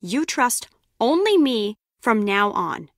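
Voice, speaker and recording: A woman's voice: a little high, big pitch swings, slow, a bit bright, dry recording, clean studio sound, exaggerated voice. An actress playing a brusque, sarcastic lone-wolf female spy in a video game, with a curt, biting delivery. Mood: serious, urgent. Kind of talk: scripted game character dialogue.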